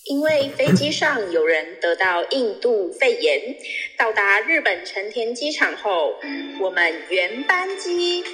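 A woman playing a blunt, money-grubbing airline attendant with a deadpan, businesslike manner in a comic audio drama. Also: medium pitch, slow pace. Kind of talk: scripted comic announcement. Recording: reverberant hall with music.

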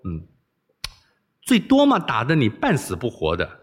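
A man's voice: medium pitch, huge pitch swings, unhurried, breathy edge, exaggerated voice. Man doing a wilful, coaxing young princess. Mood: delighted. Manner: coaxing, bossy, playful. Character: spoiled, wilful young princess who relishes being scolded.

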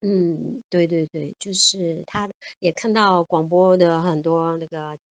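A woman's voice: fairly low pitch, steady tone, a little slow, dry recording, dull audio. A woman in her fifties chatting cheerfully as an interview guest, laughing often and repeating words. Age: 50s. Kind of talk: casual interview chat.

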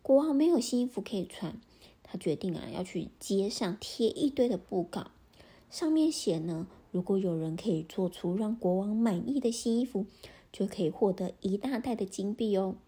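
A woman narrates a children's story in a very breathy voice that slips into half-whispers. Her tone is mellow and soft. She talks gently and animatedly, speaking straight to young listeners. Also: medium pitch, animated, moderate pace.

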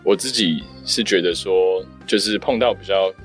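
A man's voice: sits low, moderate pace, faint music behind. A man, chatting casually as a voice actor. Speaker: amateur voice actor. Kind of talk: casual chat.

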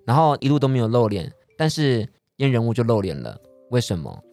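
A man hosts a casual podcast chat in Taiwanese Mandarin. His delivery is quick and very lively in pitch. He talks in a playful, teasing way. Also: vocal fry, faint music behind. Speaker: podcast host.

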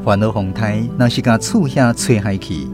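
A man with a Taiwanese accent giving expressive scripted narration for a children's story. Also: lowish voice, normal speed, over music.

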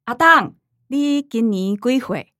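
Showy, gushing Taiwanese influencer mom. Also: female voice, a little high, animated, slow pace, fairly bright tone, no room sound, studio quality. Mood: bossy, prompting. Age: middle-aged. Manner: gushing, performs for the camera. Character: showy middle-aged mother, livestreaming influencer, sugary and self-dramatizing. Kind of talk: radio drama acting.

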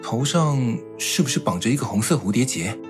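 An actor playing a composed, considerate young man in an audio drama, measured and polite. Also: mid-range voice, expressive, music in the background, lightly acted. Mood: calm, thoughtful. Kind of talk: Mandarin audio drama acting.

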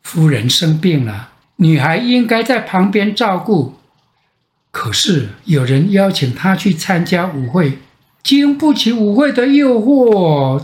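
An older man with a Taiwanese Mandarin accent tells a bedtime fairy tale as a grandfather, with dramatic pauses and repetition. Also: high voice. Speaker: grandfather storyteller. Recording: roomy echo.

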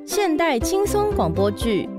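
A female announcer with a high voice and bouncy, swooping intonation. She reads show titles slowly over playful ukulele music. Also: very animated.

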